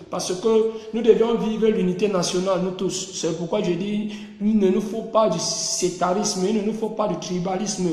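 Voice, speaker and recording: A man's voice: high-pitched, a little mellow, reverberant, in a large hall. A man from Cameroon with a Cameroonian French accent, speaking to his online followers in a casual, repetitive, rallying way. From Cameroon, Mungo region. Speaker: online group organizer. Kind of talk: casual live video address to followers.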